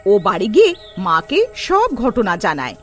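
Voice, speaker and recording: A woman's voice: medium pitch, huge pitch swings, normal speed, a bit bright, soft background music. A woman narrating a scripted children's story as a storyteller.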